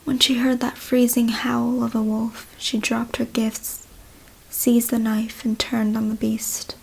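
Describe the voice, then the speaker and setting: A woman reading a story as ASMR in a soft, breathy whisper, hushed and deliberate. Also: on the high side, flat delivery, rough recording. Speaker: ASMR reader.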